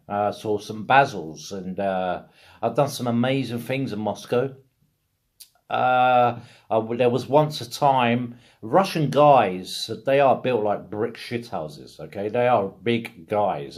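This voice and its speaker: A man's voice: medium pitch, darkish tone. Blunt, sweary British man telling stories. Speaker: video blogger. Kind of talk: casual rambling storytelling.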